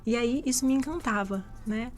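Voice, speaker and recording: A woman's voice: a little high, normal speed, soft background music. A woman from Rio de Janeiro with a Carioca accent, answering interview questions as a guest doctor in an explanatory, conversational way. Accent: Carioca Brazilian Portuguese. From Rio de Janeiro, Brazil. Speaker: guest infectious disease doctor. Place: live radio podcast interview.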